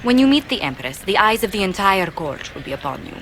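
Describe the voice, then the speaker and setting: An actress playing a grave, poised court diplomat in a fantasy game, speaking in formal, measured, earnest warnings. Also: female voice, mid-range voice, very animated, a bit bright, a little hiss or hum. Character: poised, grave woman court diplomat advising her leader. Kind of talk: dramatic fantasy video game dialogue.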